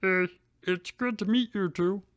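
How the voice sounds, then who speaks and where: A man's voice: high-pitched, measured pace, a bit bright. A man voicing a polite, earnest young accomplice in a comedic fan fiction reading. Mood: polite curiosity. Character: courteous, eager young male accomplice.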